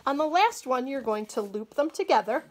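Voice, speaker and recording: A woman with a fairly high, light voice walks through a table-top craft one step at a time, clear and patient. She is recorded in a small indoor room with faint hiss behind her. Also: expressive, moderate pace. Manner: clear, patient instructional delivery. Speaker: agriculture educator.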